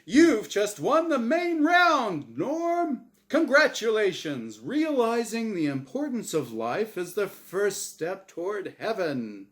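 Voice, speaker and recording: Man putting on a high, showy game-show host angel. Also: sing-song, slow pace, hardly any pauses, a bit bright, heavily acted. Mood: showy, excited. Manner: booming, exaggerated announcer delivery.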